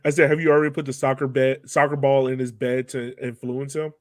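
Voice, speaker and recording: A man hosts a casual sports podcast, steering the chat. His voice sits at a middle pitch, and the recording is dry and close, with little room sound. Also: flat delivery, fast talker, no echo. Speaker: podcast host.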